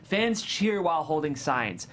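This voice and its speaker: A man's voice: fairly high pitch, very animated, unhurried, fairly bright tone. A man serves as narrator at a script table read. He reads scene descriptions and stage directions as scripted narration.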